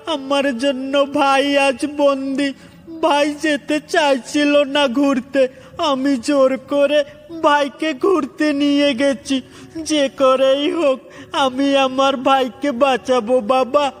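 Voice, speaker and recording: A man's voice: extremely high pitch, level tone, takes it slowly. A man voicing a frightened little bird child in a children's cartoon, pleading tearfully and repeating words. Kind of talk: Bengali children's cartoon storytelling. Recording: heavy reverb with music.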